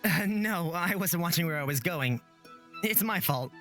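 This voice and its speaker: Earnest, apologetic young male hero for a fantasy visual novel, acted by a man, animated delivery. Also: fairly high pitch, normal speed, soft background music. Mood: flustered.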